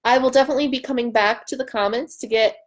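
A woman in her thirties hosting a casual live stream from home, loud, excitable and bantering as she answers comments. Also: fairly high pitch, fast. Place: room at home by a large window.

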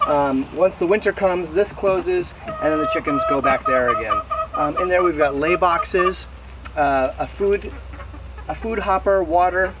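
A man in his thirties giving a casual, explanatory walking tour to camera, pausing now and then mid-sentence. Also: on the high side. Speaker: host giving a garden tour. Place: outdoors in a wooded backyard garden. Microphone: camera mic several meters away. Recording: distant camera mic, noisy outdoor ambience. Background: insects and outdoor noise.

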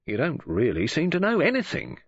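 A man voices a brusque police officer who teases and disapproves in short, clipped phrases. The voice is husky, and the recording sounds dull. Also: on the high side, wildly swooping pitch, fairly quick, pitched above the performer's own voice, heavily acted. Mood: sardonic.

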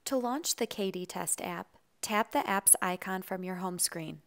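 Woman, tutorial voice-over, smooth clear tone, measured instructional reading, studio mic. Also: medium pitch. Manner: even, instructional, measured delivery. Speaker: tutorial narrator. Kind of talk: scripted voice-over narration.

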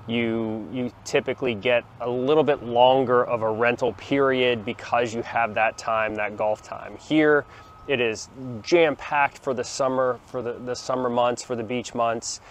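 A man's voice: medium pitch, steady tone, measured pace, a little hiss or hum. A man in his forties giving a chatty walking tour to his phone camera outside by the beach, with plenty of ums and restarts. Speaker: vlogger showing a beach town. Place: outdoors, sunny beachfront plaza and beach. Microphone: phone held at arm's length, small clip-on mic.

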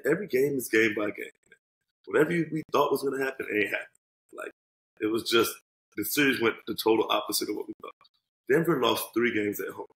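A man co-hosting a casual sports podcast, animated and joking, swearing freely as he talks over a video call. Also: medium pitch, roomy sound, poor audio. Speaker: podcast co-host. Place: home room. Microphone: headset on video call.

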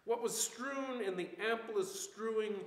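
An older man, a poet, reading poetry aloud in a measured, declamatory manner. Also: high voice. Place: church room indoors, group reading. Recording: echoey hall with long reverb.

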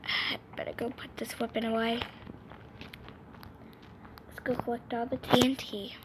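High-voiced young woman over noisy phone audio. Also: fairly even intonation, unhurried. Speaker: host of a gaming channel. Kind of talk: improvised role-play gameplay narration. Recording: noisy amateur phone screen recording.

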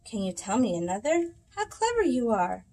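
A woman's voice: fairly high pitch, very animated, moderate pace, mellow. A woman voicing an enthusiastic skinny man in a picture book, exclaiming with gushing delight.

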